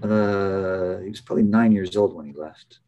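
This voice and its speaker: An American man in his fifties, a professor interviewed on a video call from a small office, telling casual anecdotes in a halting, often amused way. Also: low-pitched, lo-fi sound. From United States. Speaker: interview guest, biology professor. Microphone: computer microphone on a video call.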